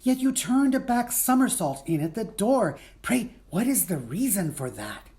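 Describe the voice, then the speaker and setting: A man's voice: sits high, big pitch swings, moderate pace, darkish tone, pitched above the performer's own voice. A man voicing a cheeky, inquisitive young son who pesters his father, in a theatrical reading of children's poetry. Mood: cheeky, curious.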